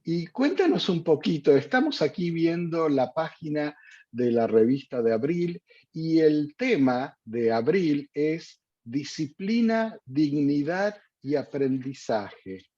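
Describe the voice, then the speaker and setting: A man hosting a radio interview in a measured way with frequent fillers. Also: on the high side, sing-song, mellow, slightly rough, dry recording. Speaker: host. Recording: dull dry lo-fi sound.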